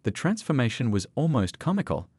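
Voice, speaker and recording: A man's voice: mid-range voice, sing-song, fairly quick, a little mellow, slightly rough, dry recording. A man narrating a scripted dramatic story.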